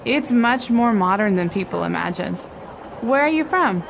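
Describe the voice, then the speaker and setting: A woman's voice: fairly high pitch, lively intonation, normal speed, gravelly. A woman voicing a friendly local city worker in a scripted lesson dialogue, with vehicle noise behind.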